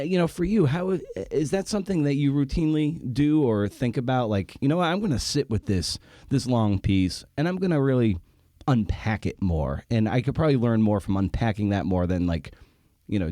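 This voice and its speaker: A man's voice: medium pitch, lively intonation, brisk, mellow, no room sound, studio quality. A man hosting a casual conversational interview, thinking aloud in a rambling way and repeating words for emphasis. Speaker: podcast host.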